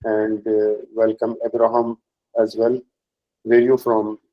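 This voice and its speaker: A man explaining software deployment steps in a workshop, pausing often with fillers. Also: on the low side, monotone, highs cut off. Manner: hesitant, many filler pauses. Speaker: workshop instructor.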